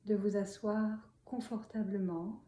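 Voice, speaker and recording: A woman leads a guided meditation in a very mellow, soft and breathy voice, often close to whispering. She speaks slowly and calmly, leaving long pauses between gentle instructions. The room adds noticeable echo. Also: mid-range voice. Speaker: meditation and yoga teacher. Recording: roomy echo, faint background noise.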